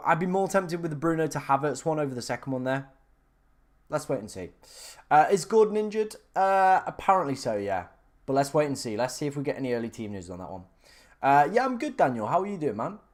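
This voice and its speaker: A young man from England chatting casually on a live stream, reading out viewer questions and talking through options with lots of fillers. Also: on the high side. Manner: chatty, filler-heavy, restarts, reads viewer questions aloud. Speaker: fantasy football streamer answering live chat.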